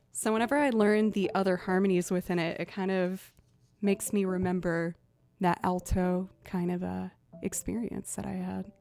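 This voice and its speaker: Chatty young woman with a lower voice. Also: a little mellow. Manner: chatty, thinking aloud with fillers. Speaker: musician streamer. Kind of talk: casual live-stream chat.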